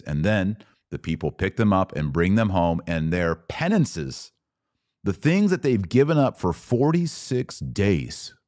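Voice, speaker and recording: A man's voice: sits low, darkish tone, dry recording, studio quality. A man hosting a podcast, explaining religious practice in an informal, enthusiastic way and often answering his own questions. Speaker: podcast host.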